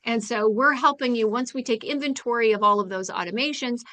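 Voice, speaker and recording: A woman's voice: on the high side, a little fast, a bit bright. A woman presenting in a business webinar, stressing and repeating her key points. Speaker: webinar presenter, company founder.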